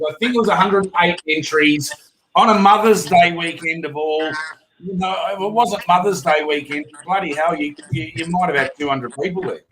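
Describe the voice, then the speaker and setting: Hoarse, chatty Australian man hosting. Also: sits high, fairly bright tone, slight background noise, low-quality recording. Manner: rambling, chatty, many fillers and restarts. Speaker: fishing show host. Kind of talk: casual live stream chat.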